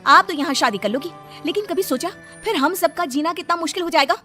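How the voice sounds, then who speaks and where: Woman acting an indignant, high-pitched young housewife. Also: fast talker, pushed and bright, soft background music. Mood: indignant, scolding. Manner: scolding, dramatic, gossipy. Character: indignant, prudish married woman and daughter-in-law.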